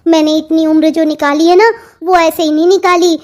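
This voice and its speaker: A performer acts out an elderly, motherly mother-in-law in a family cartoon. The voice is strikingly high, with a doting, pleading way of talking and a worried edge. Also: level tone, normal speed, darkish tone. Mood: worried, resolute. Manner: pleading, motherly, repetitive endearments. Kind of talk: Hindi family comedy cartoon dialogue.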